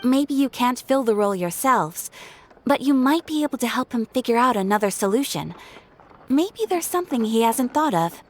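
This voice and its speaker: A woman's voice: on the high side, animated, moderate pace. An actress playing a polite, formal female guild receptionist in a video game.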